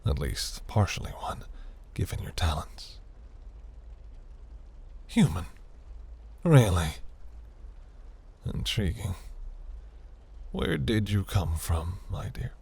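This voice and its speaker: Guy doing a flirty demon prince for fantasy roleplay, husky n breathy, super slow, grand and teasing. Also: lowish voice, very animated, a little mellow, heavily acted. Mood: intrigued. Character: seductive, imperious demon prince, ageless and flirtatious. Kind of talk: scripted romantic fantasy audio roleplay.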